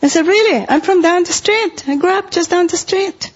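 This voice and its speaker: A woman's voice: very high voice, normal speed, lo-fi sound. A middle-aged woman from Costa Rica with a Spanish accent, preaching a sermon in an earnest way and repeating phrases for emphasis.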